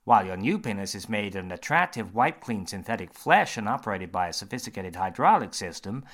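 A man voicing an eager, grinning male surgeon who talks brightly and bluntly. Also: lowish voice, very animated. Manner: bright, emphatic, quick.

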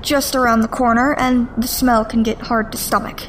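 An actress playing a deferential alien lieutenant in a sci-fi audio drama, hesitant and apologetic in manner. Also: female voice, on the high side, steady tone, moderate pace, lightly acted. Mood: nervous, deferential. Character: nervous, deferential female alien lieutenant, ex-military. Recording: faint background noise.

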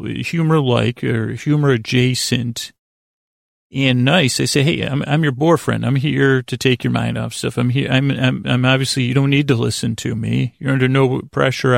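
A man hosting a sleep podcast in a rambling, informal bedtime monologue, halting and self-deprecating, with a gravelly voice. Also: mid-range voice, no echo, clean studio sound. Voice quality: gravelly, creaky.

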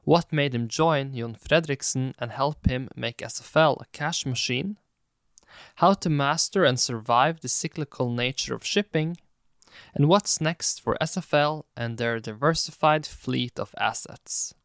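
A man's voice: medium pitch, unhurried, a bit bright, no room sound, clean studio sound. A man hosting an interview podcast, presenting in a scripted, explanatory style. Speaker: podcast host.

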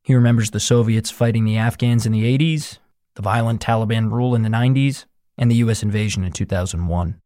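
Male podcast host, lower voice, measured scripted narration. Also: no echo, studio quality. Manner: measured, even documentary narration.

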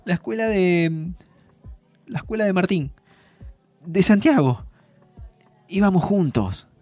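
A man's voice: high-pitched, lively intonation, slow pace, darkish tone, no room sound, soft background music, heavily acted. An actor playing a flustered, tender man in an audio drama, with an Argentine accent and a hesitant, stammering delivery. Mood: nervous, hesitant. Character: flustered, tender man visiting his own future. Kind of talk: naturalistic audio fiction acting.

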